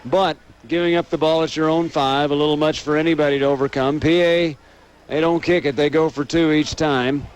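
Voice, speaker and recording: A man's voice: a little high, steady tone, a little fast, smooth. An American man doing live radio play-by-play commentary of a football game.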